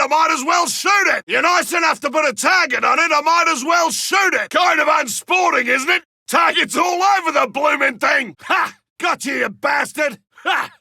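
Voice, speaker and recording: A man voicing a gruff, hearty older Australian big-game hunter in a video game, gravelly, barking short battlefield lines. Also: extremely high pitch, sharp tone, no room sound, clean studio sound, full character voice. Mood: rowdy, exclaiming.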